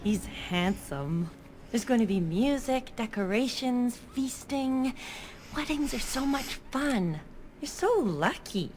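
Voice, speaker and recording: A woman's voice: fairly high pitch, animated, slow, a little mellow, a little hiss or hum. An actress playing a cheery, teasing young female elf cousin in a video game. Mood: excited.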